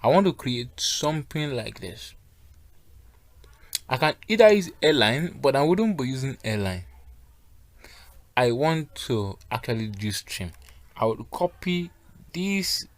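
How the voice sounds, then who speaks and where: A man teaches graphic design software in a step-by-step tutorial. His voice sits at a medium pitch. He speaks instructionally, guiding learners through each action and checking that they are keeping up. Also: very animated, unhurried, pauses often, dry recording.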